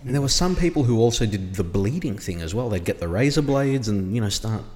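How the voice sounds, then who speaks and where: A man hosting a casual podcast, relaxed and matey. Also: fairly low pitch, brisk, in a large hall. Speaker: podcast host.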